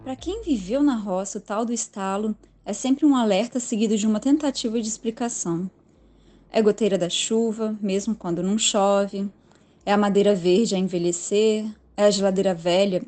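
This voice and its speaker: A woman's voice: medium pitch, a little mellow. A woman with a Brazilian Portuguese accent narrating a scripted literary horror story in a reflective, dramatic way.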